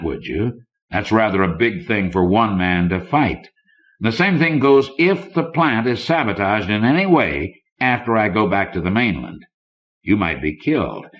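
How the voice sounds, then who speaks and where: A man voicing a smug, ruthless banker villain in an audiobook, oily and smoothly menacing. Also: fairly low pitch, very animated, normal speed. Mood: smug menace. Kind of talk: audiobook character dialogue. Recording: dull lo-fi old cassette recording.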